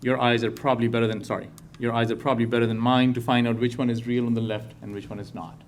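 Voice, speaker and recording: A man from Pakistan, a professor, giving a public talk in a lecture hall with earnest, slow and emphatic phrasing. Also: mid-range voice, level tone, a little hiss or hum. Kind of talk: public talk to a live audience.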